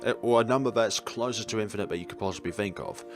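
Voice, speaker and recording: A man reading a visual novel aloud in an expressive way, with casual, rambling and playful asides. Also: lowish voice, fast. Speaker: video game player and narrator. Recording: music playing behind the voice. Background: game soundtrack music.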